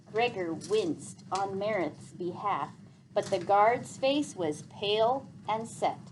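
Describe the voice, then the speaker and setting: A woman teacher reading a story aloud to pupils in an expressive, clearly enunciated way. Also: mid-range voice, a little hiss or hum, poor audio.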